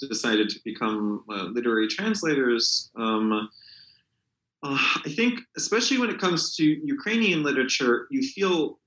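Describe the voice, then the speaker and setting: A man's voice: medium pitch, lively intonation, normal speed, a bit bright, reverberant, rough recording. A man who works as a literary translator, answering interview questions in a hesitant way, with many fillers and restarts.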